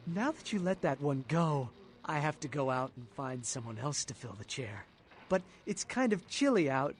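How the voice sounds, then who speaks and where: An actor playing a creepy, sardonic blood dealer in a video game, muttering and sneering with erratic swings from loud to hushed. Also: male voice, on the high side, slight background noise, close to an everyday voice. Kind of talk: video game character acting.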